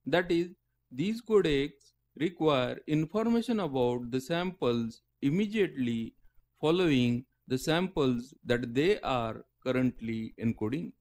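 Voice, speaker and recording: A man reading a scripted technical lecture in halting phrases, often breaking mid-sentence. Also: fairly high pitch, darkish tone. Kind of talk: scripted technical narration read aloud.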